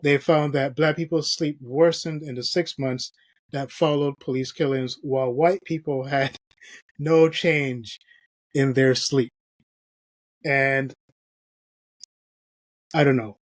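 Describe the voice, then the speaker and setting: A man's voice: mid-range voice, fairly even intonation, takes it slowly, pauses often, dry recording. Earnest man hosting a podcast. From Barbados. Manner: earnest, reads aloud from an article with frequent fillers. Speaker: podcast host. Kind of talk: podcast discussion.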